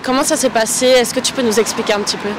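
A woman's voice: a little high, fairly even intonation, very fast, background noise. A young woman in her twenties with a Belgian French accent, asking questions as a street interviewer. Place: outdoors on a city street. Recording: busy street with passers-by.

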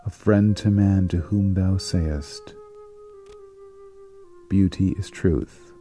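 A man reads verse in a low, very mellow and dark voice. His delivery is slow and solemn, breaking the lines into short, deliberate phrases with dramatic weight. Faint flute music plays behind him. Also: fairly even intonation. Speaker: poetry reader. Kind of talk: slow, dramatic poetry recitation.

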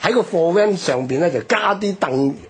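A man with a Hong Kong Cantonese accent gives an unscripted, emphatic explanation as a history commentator on radio, his voice husky and rough. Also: high voice, animated, normal speed. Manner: explanatory, emphatic, frequent rhetorical tags. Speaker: history commentator, programme guest.

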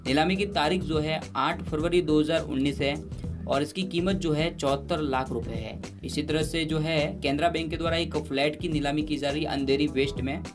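Male news presenter, higher-pitched, casual conversational read over background music. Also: steady tone, moderate pace. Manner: conversational, with many fillers and restarts.

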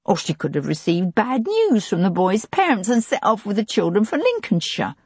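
A woman voicing a plump, tearful older housekeeper who talks on anxiously and pleadingly, with a breathy voice. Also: mid-range voice, wildly swooping pitch, normal speed, a little mellow, no echo, pitched above the performer's own voice, full character voice.

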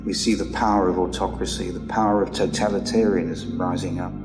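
A man's voice: low voice, fairly even intonation, fairly quick. A man preaching a sermon earnestly, reading scripture aloud and often adding tag questions to draw in his listeners. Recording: echoey hall with music behind.

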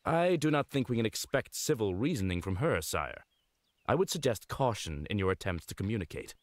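A man voicing a loyal, dutiful knight retainer in a fantasy game, formal and courteous with a deadpan streak. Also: fairly low pitch, fairly quick, dry recording. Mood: wary, formal. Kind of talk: fantasy game character acting.

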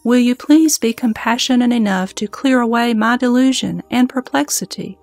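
A woman playing an earnest, reverent monk disciple in a formal, measured scripture audio drama. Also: a little high, creaky, a little mellow, dry recording, faint music behind. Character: earnest, reverent young monk disciple questioning his master.

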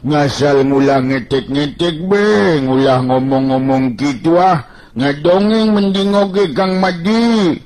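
A man voicing a slow-witted, whiny male fighter in a radio story, drawling out simple repeated phrases. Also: on the high side, darkish tone, pitched above the performer's own voice, rough recording. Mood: anxious pleading.

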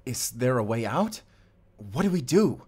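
A man's voice: mid-range voice, very animated, a little slow, a little mellow, husky. A man acting a wary, protective fighter on the run in an audio roleplay, questioning and urgent. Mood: suspicious, tense. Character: wary, suspicious fighter leading an escape, protective. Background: music.

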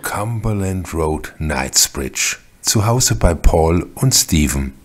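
A man's voice: low voice, animated, takes it slowly. A man reading scripted narration that sets the scenes of a radio play.

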